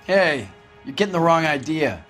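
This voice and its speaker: An actor playing a guarded, casual market vendor answering police questions in a crime video game. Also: male voice, on the high side, expressive, moderate pace, fairly bright tone, soft background music. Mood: wary. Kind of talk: acted video game dialogue.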